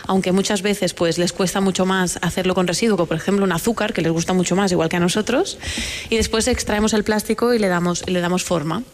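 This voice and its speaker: A woman's voice: on the low side, fairly even intonation, fast, slight background noise. A woman with a Spanish accent from Spain, explaining things plainly and informally as an interview guest. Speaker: scientist entrepreneur, award-winning guest.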